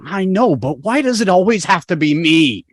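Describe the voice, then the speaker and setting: Actor as exasperated young man, defensive, complaining, very animated pitch, table-read drama. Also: normal speed, dry recording, studio quality. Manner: complaining, stammering protests. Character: exasperated, reluctant young male babysitter, defensive and flustered. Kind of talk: fan table-read acting. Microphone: video call.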